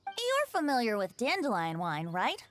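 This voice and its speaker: A woman's voice: a little high, huge pitch swings, moderate pace, over music. A woman playing a charming, breezy young male bard in a video game, with a theatrical, flowery manner. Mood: wistful, explaining.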